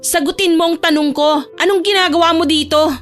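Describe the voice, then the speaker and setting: A woman voicing a feisty, haughty young woman in a romance audio drama, sharp, indignant and sarcastic. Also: extremely high pitch, steady tone, brisk, soft background music, voice pushed up. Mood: demanding.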